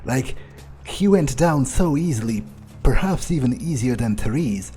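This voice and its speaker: A man roleplaying a jaded, ruthless, arrogant vampire in a game, speaking in a halting, dismissive, sighing way. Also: medium pitch, animated, dark tone, soft background music, natural voice. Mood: smug musing. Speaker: video game player roleplaying his character. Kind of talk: in-character improvised playthrough monologue.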